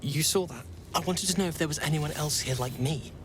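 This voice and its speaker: A man's voice: fairly high pitch, a little hiss or hum, close to an everyday voice. Earnest, timid young alien warrior trainee voiced by a man. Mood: shy, wistful. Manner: hesitant, earnest, halting. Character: earnest, timid young githyanki male trainee, dreamy idealist. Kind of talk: video game voice acting.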